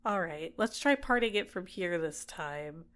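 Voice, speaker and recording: A woman voicing a possessive, manipulative villainess in an intimate ASMR roleplay, soft-spoken and coaxing, teasing and sometimes whispering to a captive. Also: on the low side, lively intonation, measured pace. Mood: tender, doting.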